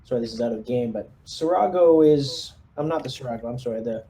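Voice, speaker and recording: A man with a very mellow, mid-pitched voice plays a commander at a gaming table. He speaks hesitantly and politely, giving casual orders over faint background noise. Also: fairly quick. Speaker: tabletop player acting as squad commander. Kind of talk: casual in-game radio orders and table talk.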